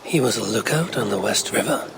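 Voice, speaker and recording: An actor playing a brooding Viking clan leader in a video game, measured and solemn, as if murmuring to himself. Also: male voice, fairly low pitch, animated, creaky, heavy background noise. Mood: brooding, investigative. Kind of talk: video game cutscene acting.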